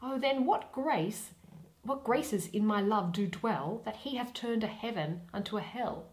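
A young woman reading a play in verse aloud, dramatically and expressively, pausing between phrases. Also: a little high, expressive. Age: young adult. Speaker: reader of a Shakespeare play. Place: small plain room indoors.

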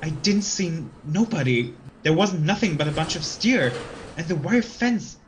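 An actor playing a folksy, easily spooked young hitchhiker in an old-fashioned radio play, slangy and colloquial. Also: male voice, fairly high pitch, lively intonation, moderate pace, slight background noise, lightly acted, low-quality recording. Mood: baffled, uneasy.

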